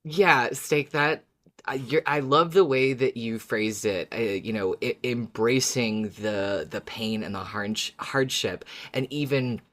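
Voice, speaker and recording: A man co-hosting a casual fan discussion podcast, talking earnestly and haltingly and repeating words for emphasis. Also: mid-range voice, a bit bright. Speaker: podcast co-host.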